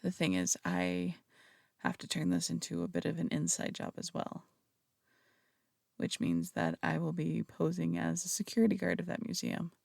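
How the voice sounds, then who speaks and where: A woman's voice: on the low side, normal speed, creaky, a little mellow, no echo, studio quality. A woman playing a flirtatious, theatrical female phantom thief in an intimate audio roleplay, coaxing and teasing, with slight stammers. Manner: coaxing, teasing, hesitant stammers between polished phrases. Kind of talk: intimate audio roleplay, acted monologue to listener.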